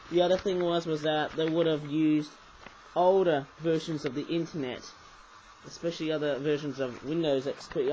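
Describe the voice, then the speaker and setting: Croaky just-woke-up guy, bit high voiced, rambling toy review on his webcam, faint hiss. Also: warm tone, low-quality recording. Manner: rambling, self-correcting, chatty asides. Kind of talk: casual unboxing review.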